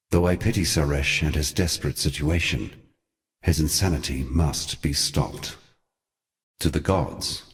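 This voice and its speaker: A man voicing an ancient, sorrowful god in a fantasy game, speaking in a solemn, eloquent and theatrical way. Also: a bass voice. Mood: grave. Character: ancient male god, grave, sorrowful, brooding mentor. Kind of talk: scripted fantasy game monologue.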